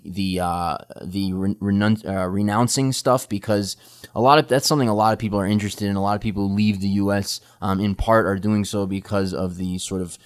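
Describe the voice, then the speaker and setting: A man hosting a podcast interview, talking casually with many ums and restarts. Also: fairly low pitch. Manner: halting, many fillers and restarts. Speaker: podcast host.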